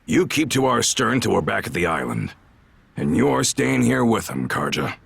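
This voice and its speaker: An actor playing a gruff, sly, commanding brigand leader in a video game, with a husky, gravelly voice and a folksy, teasing drawl. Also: male voice, on the low side, sing-song, moderate pace, a bit bright, dry recording, exaggerated voice. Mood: amused, commanding. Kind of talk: video game cutscene acting.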